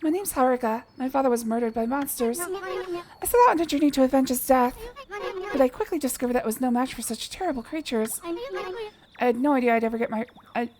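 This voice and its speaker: A woman's voice: high voice, animated, a little fast, a crowd in the background. A woman voicing a grieving young woman set on avenging her father, read plainly over game dialogue. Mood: earnest. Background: game music.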